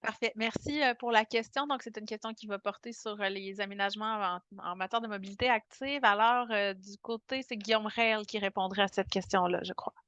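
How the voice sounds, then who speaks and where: Woman running an online info session, polite, lots of little pauses, dry sound. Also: medium pitch, fairly bright tone, no room sound, muffled recording. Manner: measured, courteous, hesitant fillers. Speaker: meeting moderator. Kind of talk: moderating a public meeting.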